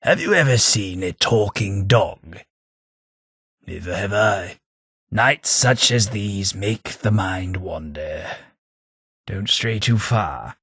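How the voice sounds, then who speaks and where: An undead gentleman, older, dry wit, husky voice, low, unhurried, game companion, dry studio. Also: no echo, heavily acted. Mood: wry. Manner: dry wit, formal polite phrasing. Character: old undead London gentleman companion, wry and refined. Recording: dry close studio recording.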